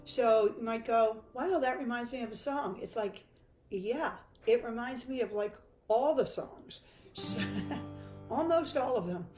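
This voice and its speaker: A woman's voice: a little high, lively intonation, a little slow, a little mellow. Froggy-voiced older lady giving a guitar lesson, kinda echoey room, guitar in the background. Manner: chatty, self-deprecating, laughs easily. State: hoarse from laryngitis. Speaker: guitar teacher. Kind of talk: live-streamed guitar lesson. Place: home music room, indoors.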